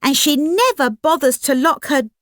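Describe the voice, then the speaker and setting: A woman's voice: high voice, lively intonation, moderate pace, no echo, studio quality, voice pushed up. A woman voicing a sweet, trusting little fairy-tale girl with an innocent, eager manner. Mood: chirpy, eager.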